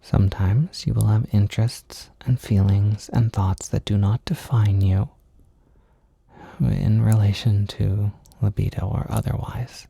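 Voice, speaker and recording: A man with a lowish, deeply mellow and dark voice talks as if offering comfort one to one. He is gentle and halting, a little self-deprecating, with a gravelly fry at the edges of his words. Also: fairly even intonation, no room sound. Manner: gentle, reassuring. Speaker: audio creator giving comfort and validation. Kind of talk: intimate, informal comfort monologue.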